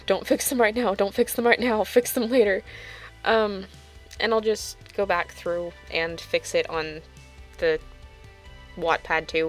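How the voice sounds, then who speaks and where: A woman with an informal, self-deprecating manner, telling stories and chatting casually as an online video creator. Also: fairly high pitch. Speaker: YouTuber reading own fanfiction. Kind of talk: casual storytelling and video chat.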